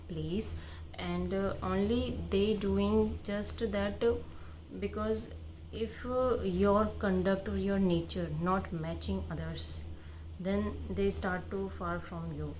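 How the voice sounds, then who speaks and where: A woman's voice: on the low side, a little mellow, slight background noise, low-quality recording. A young Indian woman whose first language is not English, giving advice in a vlog, with many fillers and restarts. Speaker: vlogger. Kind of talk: unscripted advice monologue.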